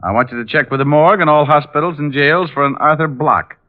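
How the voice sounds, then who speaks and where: An actor playing a cocky private detective in an old radio drama, flippant, sardonic and unruffled. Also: male voice, a little high, quick pace, narrow, tinny audio, natural voice. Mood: businesslike banter. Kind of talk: 1950s radio detective acting and narration. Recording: thin, band-limited old radio recording.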